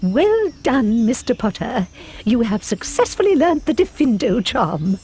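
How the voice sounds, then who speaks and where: A woman voicing a formal, strict but kindly witch professor in a video game, instructing deliberately in a husky voice. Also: on the high side, sing-song, dark tone. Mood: measured approval. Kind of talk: video game character acting.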